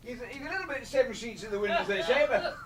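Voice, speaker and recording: An actor in a radio drama playing a tipsy, sheepish English husband who talks cheerily. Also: male voice, very high-pitched, lively intonation, quick pace, a crowd in the background. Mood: tipsy cheery. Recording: echoey, distant from the mic.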